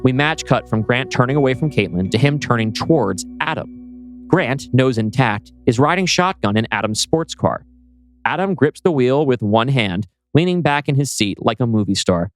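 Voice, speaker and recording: A man's voice: medium pitch, animated, normal speed. A man reading scene directions from a script as a podcast host. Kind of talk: scripted narration of a screenplay. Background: music.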